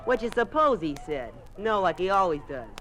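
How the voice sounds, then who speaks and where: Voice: mid-range voice, sing-song, moderate pace, fairly bright tone. An American performer playing a sulky, defensive schoolboy in a 1950s radio drama. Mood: sullen, defensive. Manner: defensive, sullen. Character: sulky, touchy schoolboy, kept from joining friends.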